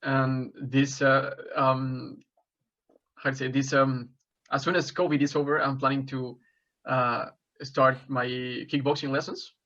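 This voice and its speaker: Mexican-born man, 20s, job applicant: halting, filler-heavy answers, monotone, slightly muffled audio. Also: mid-range voice. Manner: hesitant, many fillers and restarts. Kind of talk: mock job interview answers.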